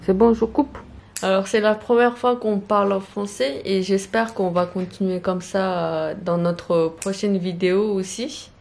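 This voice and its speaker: A woman's voice: mid-range voice, steady tone, moderate pace, gravelly, darkish tone. A woman in her thirties from Tibet, a native Tibetan speaker, chatting casually as a family vlogger in a room at home. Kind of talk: casual vlog chat. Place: small bright room at home. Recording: faint background noise.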